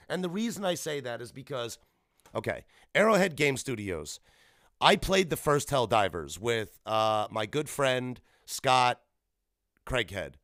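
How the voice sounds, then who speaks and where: A man's voice: medium pitch, a bit bright, no echo, clean studio sound. A man in his thirties hosting a solo gaming podcast, rambling casually and correcting himself as he goes. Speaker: podcast host. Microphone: podcast microphone.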